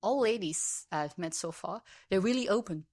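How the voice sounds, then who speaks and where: A woman's voice: mid-range voice, expressive, normal speed, darkish tone. Belgian dutch-accented woman in her 30s, friendly podcast guest, laughs a lot, restarts sentences. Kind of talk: casual interview chat.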